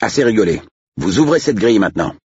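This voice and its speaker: A man's voice: lowish voice, sing-song, fast, mellow, husky, no room sound, highs cut off, pitched above the performer's own voice, full character voice. A man voicing a cocky older estate agent in an audiobook story, scornful and taunting. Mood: indignant. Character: older male estate agent, sneering, mocking, cocky swindler.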